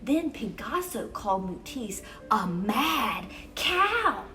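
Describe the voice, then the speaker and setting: A woman reading a picture book aloud to children as a storyteller, expressive and exclamatory, with dramatic emphasis on key words. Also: a little high, takes it slowly, far from the mic. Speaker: children's storyteller and event host. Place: University museum gallery.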